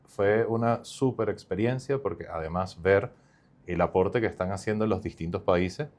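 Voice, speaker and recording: A man's voice: sits low, normal speed, a little mellow. A male startup founder, not from Chile, explaining his company at length in an upbeat podcast interview with many fillers.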